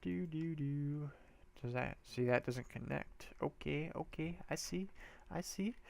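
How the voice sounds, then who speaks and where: A man's voice: a little high, unhurried, vocal fry, a little mellow. A congested man casually talking through a building game, rambling and thinking aloud in a loose, slangy way. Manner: rambling, loose, trails off and restarts often; slangy and laid-back. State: congested, stuffy nose. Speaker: gameplay video host. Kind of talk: casual let's-play commentary, thinking aloud.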